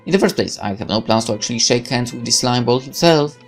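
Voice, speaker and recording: A man narrates a visual novel as a gaming video reader. His medium-pitched voice is measured and wry, like someone telling a story. Music plays faintly behind him. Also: vocal fry.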